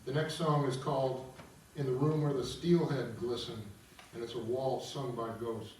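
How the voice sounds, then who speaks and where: A man's voice: mid-range voice, fairly even intonation, normal speed, darkish tone, a little hiss or hum. A man reading stage directions and explaining an opera to an audience as narrator of a table read. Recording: very echoey room, distant mic, music.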